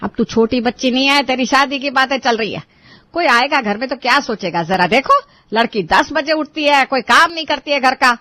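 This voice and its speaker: A woman's voice: high-pitched, normal speed, sharp tone. A woman as a stern, scolding mother. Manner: stern, lecturing. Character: scolding middle-aged mother of a grown daughter. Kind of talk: Hindi animated family drama dialogue.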